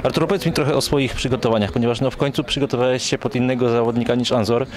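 A man's voice: mid-range voice, fairly even intonation, racing. A young man interviewing a fighter with a handheld mic, asking fluent, leading questions. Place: event hall before a sponsor wall, in doors. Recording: crowd noise behind the voice.

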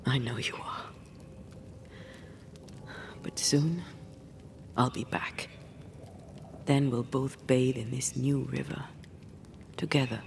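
A woman playing the brooding, resentful ghost of a young woman in a dramatic game, with breathy, measured, old-fashioned speech. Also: a bass voice, fairly even intonation, background noise, exaggerated voice. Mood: tender. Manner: measured, archaic phrasing.

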